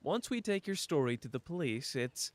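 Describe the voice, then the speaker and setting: A man's voice: on the high side, sing-song. A man playing a wry, teasing young schemer. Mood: reassuring. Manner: dry, teasing, quick-witted, close and intimate. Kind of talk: ASMR listener roleplay.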